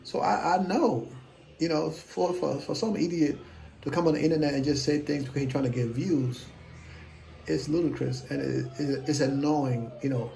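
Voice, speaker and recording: A man's voice: fairly high pitch, normal speed, vocal fry, warm tone, a little hiss or hum, low-quality recording. A man in his fifties, talking casually to camera as an investing vlogger, blunt, self-assured and rambling. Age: 50s.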